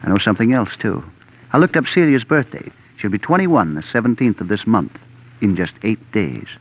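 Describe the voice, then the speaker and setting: An actor playing a calculating young secretary, clipped and self-assured, in a 1940s radio drama. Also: male voice, on the low side, sing-song, normal speed. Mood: cool, scheming. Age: young adult. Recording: tinny narrow-band vintage radio recording.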